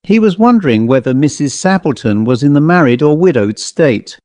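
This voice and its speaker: A man's voice: on the high side, lively intonation, moderate pace, a little mellow, dry recording. A man narrating a scripted short story as its reader.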